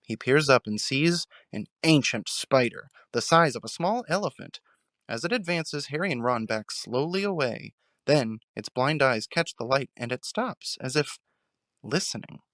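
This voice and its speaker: A man's voice: medium pitch, animated, unhurried, fairly bright tone, no echo. A man reading scripted narration for a fan audio drama, recorded close on the mic. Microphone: close mic, dry recording.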